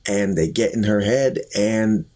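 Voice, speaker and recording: A man with a husky voice giving an earnest, deliberate explanatory review and commentary, as a vlogger. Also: on the low side, a little mellow. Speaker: YouTube reviewer. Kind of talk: talk-to-camera review and commentary.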